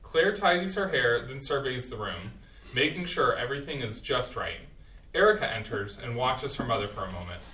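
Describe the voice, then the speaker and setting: A man's voice: medium pitch, lively intonation, normal speed, bright, forceful tone, slight background noise. A man plainly reading the stage directions in a staged play reading. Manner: plain, matter-of-fact. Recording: echoey room, distant mic.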